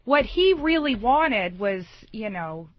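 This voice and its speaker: Slightly high-voiced woman on talk radio. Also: sing-song, takes it slowly, a crowd in the background. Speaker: comedian, radio show guest. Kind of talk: casual radio conversation. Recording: dull, band-limited radio audio.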